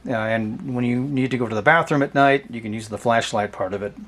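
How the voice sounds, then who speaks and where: A man with a medium-pitched voice hosts a casual product review. He talks off the cuff in a halting way, often hedging and qualifying what he says in an offhand manner. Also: a bit bright. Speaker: host of a vintage radio review channel. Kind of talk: casual unscripted product demonstration.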